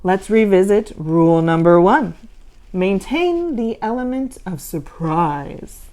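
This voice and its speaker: A woman's voice: medium pitch, expressive, slow, mellow. Woman voicing a stern, exacting monster boss. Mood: stern, commanding. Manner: commanding, instructive. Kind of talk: children's storybook read-aloud.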